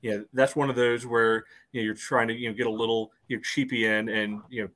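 A man's voice: mid-range voice, flat delivery, fairly quick, a bit bright. A man co-hosting a casual soccer podcast over a video call, peppering his speech with "you know". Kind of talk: casual sports discussion.

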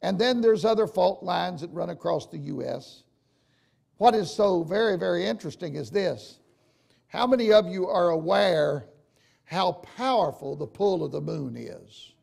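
An older man with a gravelly, raspy voice, preaching a folksy, emphatic sermon to his congregation. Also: sits high, lively intonation, normal speed, darkish tone. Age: older, 60s or 70s. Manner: emphatic, folksy, addressing listeners directly with questions. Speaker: preacher, church bishop. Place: church sanctuary, at the pulpit.

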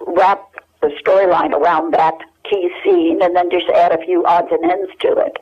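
An older American woman speaks as an interview guest over a muffled, low-fidelity telephone link. She reminisces casually, pausing and feeling her way through her memories. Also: level tone, a little mellow, husky. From United States. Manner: hesitant, searching her memory as she talks. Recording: dull lo-fi telephone hook-up.

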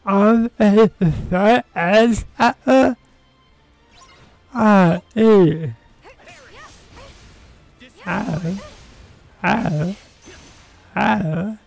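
A streamer giving casual, slangy gameplay commentary in an unhurried way. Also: mid-range voice, creaky, darkish tone, no room sound, soft background music.

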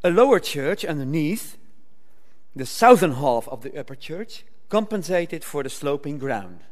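Older male academic at a lectern, careful formal delivery, fairly high voice, echoing lecture hall. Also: very animated. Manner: measured, formal delivery with occasional stumbles and restarts. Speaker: conference speaker. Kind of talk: reading a prepared academic paper. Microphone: lectern microphone. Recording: reverberant hall.

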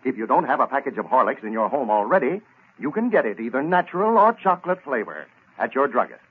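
An old-time radio announcer, a man with lively, swooping pitch and an emphatic selling delivery. His voice is husky and sounds muffled and thin on a vintage broadcast recording. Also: fast. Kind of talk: 1930s radio sponsor announcing.

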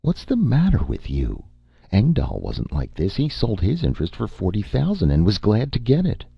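A man's voice: fairly low pitch, normal speed, vocal fry, husky, no room sound, voice pushed up, exaggerated voice. A man putting on a cool, pushy young woman. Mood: cool, persuasive. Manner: calm, coaxing, sly. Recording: dull lo-fi recording.

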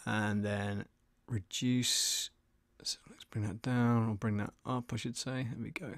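A man's voice: lowish voice, steady tone, a little slow, fairly bright tone, no room sound, studio quality. A middle-aged man casually talking through his audio editing as a tutorial, sometimes muttering to himself as he works. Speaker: voice-over artist and audio producer giving a tutorial. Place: small indoor desk studio. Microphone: studio desk microphone, close.

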